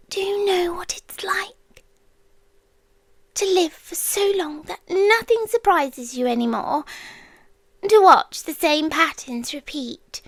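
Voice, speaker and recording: A British woman voicing an ancient, powerful female watcher spirit, possessively devoted, in an intimate ASMR roleplay, speaking deliberately and often dropping to a whisper. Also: high voice, dry recording. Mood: wistful, confessing. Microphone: close microphone, dry.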